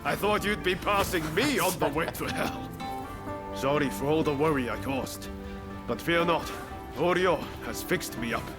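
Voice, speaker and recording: An actor playing a cheerful, self-assured young rebel schemer in a video game, with a breezy delivery. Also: male voice, fairly high pitch, moderate pace, over music, natural voice. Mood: breezy, confident. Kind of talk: dramatic video game cutscene acting.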